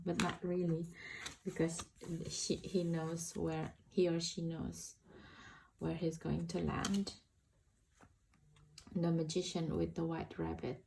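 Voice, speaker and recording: A woman with an Indonesian accent talks slowly through a tarot deck in a casual, halting way. Her voice is fairly low with a gravelly fry, and there is faint background noise. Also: warm tone, low-quality recording. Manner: hesitant, halting, searching for words. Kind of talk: casual unboxing and flip-through commentary.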